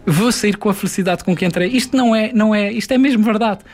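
A man's voice: high voice, fast talker, a little mellow. A man in his twenties with a São Miguel Azorean accent, a TV presenter talking earnestly with many hesitations in a studio interview. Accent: São Miguel Azorean Portuguese. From Azores, Portugal. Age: 20s. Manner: earnest, reflective, with frequent hesitations. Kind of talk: casual interview chat.

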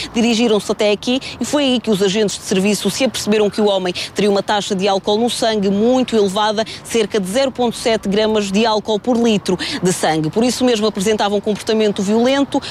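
A female field reporter with a European Portuguese accent delivers the facts briskly outdoors. Faint traffic noise hums behind her. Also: mid-range voice, a little mellow. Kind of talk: live on-scene news report. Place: outdoors outside a police station.

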